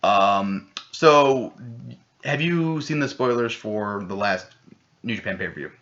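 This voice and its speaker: A man co-hosting a podcast, chatting casually with a rambling, excitable delivery and plenty of swearing. His voice is bright and forceful but sits fairly low. The audio is dull and lo-fi. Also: takes it slowly. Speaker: podcast co-host. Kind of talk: casual chat.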